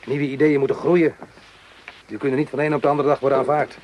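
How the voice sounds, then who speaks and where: An actor playing an anguished, protective French army corporal, once a farmer, with an earnest, deliberate delivery in a radio play. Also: male voice, mid-range voice, little pitch movement, natural voice. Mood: earnest, patient. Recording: clearly noisy old radio play recording.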